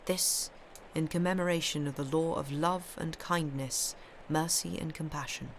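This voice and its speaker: A woman's voice: sits low, steady tone, normal speed. Woman live-stream host, noisy recording. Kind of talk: expressive literary reading aloud; casual live-stream chat.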